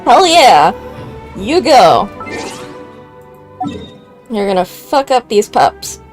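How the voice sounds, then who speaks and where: A woman's voice: fairly high pitch, very animated, extremely slow, soft background music. A woman giving casual, playful live commentary as she streams a game. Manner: informal, playful reactions.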